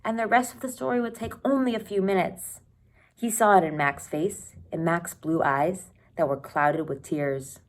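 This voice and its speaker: A woman teacher reading a children's novel aloud expressively, pausing to think aloud and comment for her students. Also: fairly low pitch, fairly even intonation. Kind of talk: read-aloud storytelling with asides to students.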